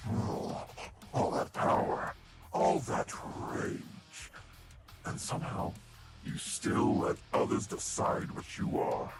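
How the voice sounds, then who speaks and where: A man voicing a taunting monster villain for a game character reel, with a breathy, whispery rasp and a mocking, theatrical delivery. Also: close to an everyday voice. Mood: condescending. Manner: slow, deliberate, mocking, theatrical. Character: sinister taunting male monster villain, menacing, patient and mocking. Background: prominent music.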